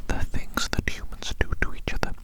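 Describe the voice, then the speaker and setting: A woman voicing a polite, curious alien doctor posing as a human in an ASMR roleplay, whispering breathily right up close and slowly repeating phrases with odd formality. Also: lightly acted. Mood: curious, politely delighted. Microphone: very close to the microphone.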